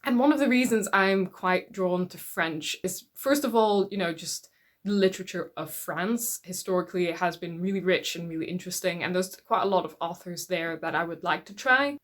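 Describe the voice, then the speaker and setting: A woman's voice: lowish voice, moderate pace. Chatty woman, native Dutch speaker. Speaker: book YouTuber. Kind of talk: casual talk to camera about reading.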